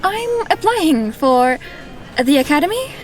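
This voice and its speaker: A woman playing a shy, nervous young woman in a fantasy audio drama, halting and polite. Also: sits high, big pitch swings, loud music behind the voice.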